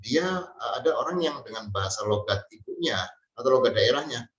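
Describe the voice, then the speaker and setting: A man from Central Java, giving an Arabic language lesson slowly and hesitantly, with many fillers. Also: mid-range voice, animated, fairly bright tone. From Central Java, Indonesia. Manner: slow, hesitant, with many fillers and drawn-out pauses. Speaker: Arabic teacher. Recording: distant mic, roomy and dull sound.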